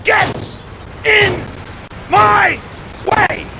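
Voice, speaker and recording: An actor playing a violent, short-tempered mob boss in a video game, bullying with drawn-out, emphatic shouts. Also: male voice, extremely high pitch, full character voice. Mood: enraged. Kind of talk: video game cutscene acting. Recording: clear vehicle and street noise.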